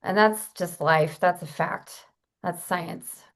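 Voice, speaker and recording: A woman playing a self-important actress in a comedy, speaking in a halting, pointed and patronizing way to a fellow actor. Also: on the low side. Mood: condescending. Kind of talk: improvised-sounding comic dialogue.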